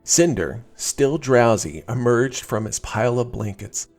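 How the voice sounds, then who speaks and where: Male storybook narrator, medium pitch, animated intonation, scripted expressive delivery, faint background music. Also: measured pace.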